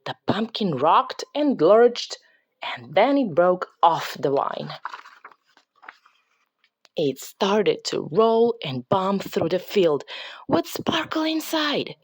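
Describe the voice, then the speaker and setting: A woman narrates a picture book for children. She takes her time, with very animated intonation and a dramatic touch. The sound is close and dry. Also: mid-range voice, slow, breathy edge, no room sound. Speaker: storybook reader. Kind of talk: expressive children's read-aloud narration.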